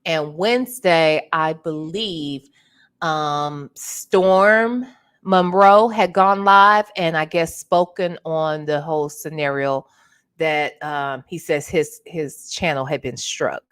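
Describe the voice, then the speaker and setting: A woman hosting a casual livestream, chatting with her viewers while sounding congested and clearing her throat now and then. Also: sits low, slow, a bit bright. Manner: chatty, greets viewers by name. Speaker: livestream host.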